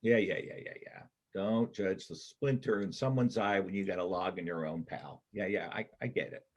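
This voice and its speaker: A man acts out a hardened bank robber and war veteran in a stage reading. The voice is lowish and husky, with blunt, sardonic, streetwise phrasing and a cynical edge. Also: moderate pace, muffled recording. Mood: wry, guarded. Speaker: amateur church play performer. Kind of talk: reader's theater performance.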